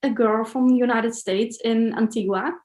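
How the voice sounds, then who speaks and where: A woman's voice: on the high side, fairly even intonation, moderate pace, a little mellow, reverberant. A woman from the Netherlands with a Dutch accent, answering interview questions in a casual, thoughtful, hesitant way. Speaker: interview guest, solo traveller.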